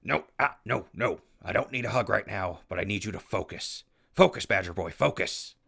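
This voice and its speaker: An actor in an audio drama playing a gruff, exasperated and weary wasteland survivor with a raspy voice. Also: male voice, lowish voice, expressive, normal speed, fairly bright tone, full character voice.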